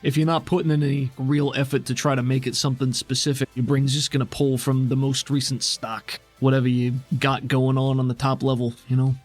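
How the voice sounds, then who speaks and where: A man's voice: medium pitch, little pitch movement, a little fast, a little mellow, faint music behind, lightly acted. A man playing a laid-back, teasing guide in a tabletop game, casual and reassuring. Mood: patient. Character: laid-back, teasing male guide inside a woman's mind.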